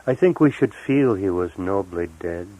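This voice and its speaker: A man reading poetry as a poet, in deliberate, measured phrases broken by pauses. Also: low-pitched, animated, mellow, rough recording. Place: live recorded reading, 1978.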